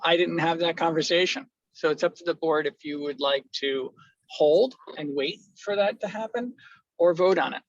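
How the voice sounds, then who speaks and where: A man from the building department joining a meeting by video call, speaking in measured, questioning turns. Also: on the high side, dry recording. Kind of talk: remote meeting participation. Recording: dull, dry call audio.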